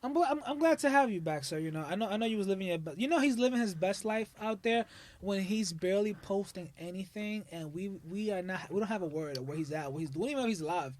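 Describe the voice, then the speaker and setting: A man co-hosting a podcast, teasing his friends and telling loud, animated stories. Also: high-pitched, fast talker, a little hiss or hum. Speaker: podcast co-host. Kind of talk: casual banter.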